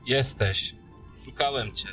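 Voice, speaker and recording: A man's voice: medium pitch, slow pace, sharp tone, soft background music, rough recording. A man playing a blunt, irreverent soldier in a fantasy audio drama, talking in a sardonic, colloquial way. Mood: conspiratorial, scornful. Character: gruff, irreverent soldier comrade, sardonic and proud.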